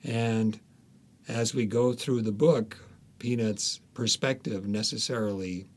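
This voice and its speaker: A man speaking as an author being interviewed, explaining reflectively with deliberate, halting phrases and frequent pauses. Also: on the low side, steady tone. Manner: halting, deliberate, pausing often.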